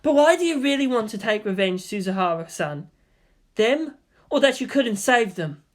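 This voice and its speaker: Narrator playing a teenage boy, sorrowful, soft-spoken, earnest, measured, audiobook story character. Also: mid-range voice, sing-song, voice pushed up, lightly acted. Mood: calm remorse.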